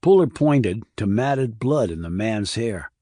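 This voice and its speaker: A man narrating a crime thriller audiobook in a measured, serious, scripted reading. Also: fairly low pitch, expressive, warm tone, dry recording, clean studio sound.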